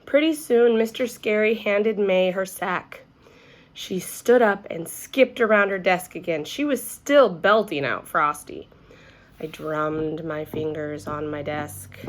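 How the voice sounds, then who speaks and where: A woman reading a children's story aloud expressively, as if to young listeners. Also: medium pitch. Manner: addresses young listeners with questions.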